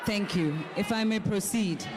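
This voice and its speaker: Forceful South African woman over crowd noise. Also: medium pitch, normal speed. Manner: forceful, emphatic, rhetorical questions. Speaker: political party representative on a panel. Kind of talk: political town hall speech. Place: large hall at a public town hall. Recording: noisy hall with loud crowd. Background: audience noise and chatter.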